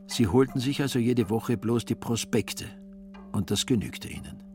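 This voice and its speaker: A man's voice: medium pitch, moderate pace, a little mellow, faint music behind. A man narrating a literary radio play as a scripted storyteller.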